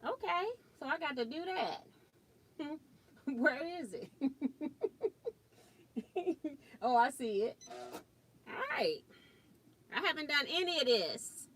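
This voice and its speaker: Loud, bright-voiced woman sewing host. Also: high-pitched, animated, slow, long pauses. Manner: chatty, thinks aloud while working, chuckles often. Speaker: quilting and sewing livestream host. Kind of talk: casual live demo chat. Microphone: built-in laptop mic about four feet away.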